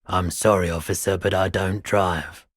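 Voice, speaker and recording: A man playing a weary, gentle, eccentric middle-aged pawnshop keeper in a video game, with a laid-back, polite and musing manner. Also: deep voice, little pitch movement, moderate pace, breathy edge, no echo, clean studio sound. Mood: laid-back, wistful. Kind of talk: video game character dialogue.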